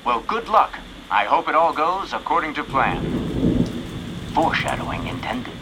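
An actor playing a chipper, folksy radio show host, with polished, wry announcer delivery. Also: male voice, mid-range voice, sing-song, a little slow, background noise. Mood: friendly, knowing. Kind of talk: old-time radio announcing.

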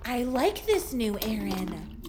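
An actress playing an excitable, feisty young oddball woman in an audio drama, blunt and slangy. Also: fairly high pitch, slow pace, noisy background.

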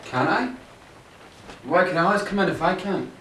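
An actor playing a resentful, sardonic outsider with an accusing manner in a naturalistic audio drama. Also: male voice, high-pitched, expressive, normal speed, a bit bright. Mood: bitter sarcasm. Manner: sarcastic, accusing. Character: resentful, sardonic outsider man needling privileged hosts. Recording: roomy, distant, faintly noisy recording.

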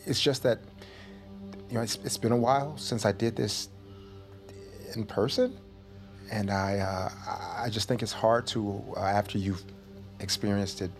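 A man's voice: fairly low pitch, measured pace, long pauses, soft background music. A man playing a stammering awkward date. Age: 30s. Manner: hesitant and stammering. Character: awkward thirty-year-old man on a first date. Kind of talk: audio drama acting.

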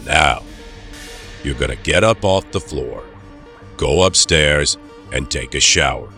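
An actor playing a cold, controlling doctor in an audio drama, giving orders in a stern, measured, quietly menacing way. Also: male voice, rumbling low, faint music behind, full character voice. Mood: cold, impatient.

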